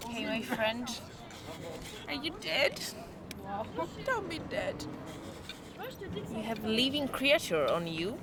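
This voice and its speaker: Slow, playful woman. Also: fairly high pitch, big pitch swings, a loud crowd. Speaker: visiting artist.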